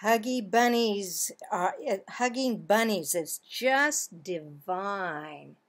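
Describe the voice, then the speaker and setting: A woman in her sixties reading a children's picture book aloud, expressively, with drawn-out words and pauses. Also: medium pitch, expressive. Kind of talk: children's storybook read-aloud. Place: small home office, indoors.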